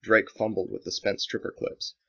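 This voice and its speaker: A man's voice: moderate pace, sharp tone. A man reading his own dramatic action novel as scripted audiobook narration, in a slightly dull recording.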